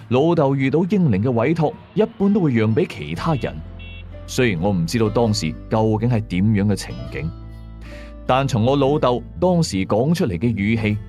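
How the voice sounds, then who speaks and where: A man's voice: lowish voice, warm tone. A man with a Cantonese accent narrating a scripted first-person ghost story. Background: faint music.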